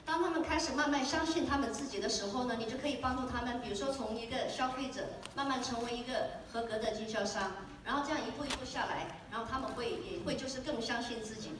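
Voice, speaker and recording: A woman interprets on stage, quick and filler-laden as she restates each point. Her voice sits a little high. She is taped from far back in an echoey convention hall with steady background noise. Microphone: handheld mic, recorded from far in the audience.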